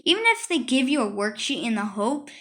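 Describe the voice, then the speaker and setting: A woman reading a children's book aloud in an expressive, conversational storytelling style with asides. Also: a little high, a little fast, slight background noise. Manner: conversational first-person delivery with asides. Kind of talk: expressive read-aloud narration.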